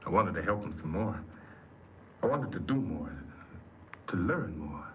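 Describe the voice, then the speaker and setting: An actor playing an earnest, insecure paroled ex-convict who tells his story haltingly in a 1950s radio drama. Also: low voice, very animated. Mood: earnest, wistful. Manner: hesitant, halting, repeats words. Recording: noisy muffled 1950s radio recording.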